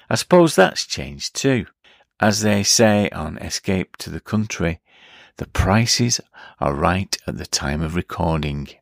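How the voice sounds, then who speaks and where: Deep-voiced middle-aged man. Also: vocal fry, dry recording, studio quality. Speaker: podcast host. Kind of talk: reflective solo podcast narration.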